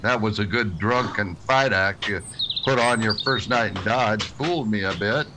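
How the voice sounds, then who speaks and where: An actor playing a stern, weary frontier marshal in a western radio drama, terse, plain-spoken and grave. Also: male voice, on the low side, normal speed, background noise, close to an everyday voice. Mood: dry, calm.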